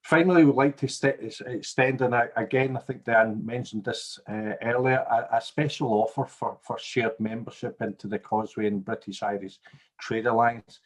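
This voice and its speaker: A man's voice: medium pitch, fairly even intonation, normal speed. A man, a director of a business organisation, giving a formal webinar presentation with upbeat promotional turns over a video call.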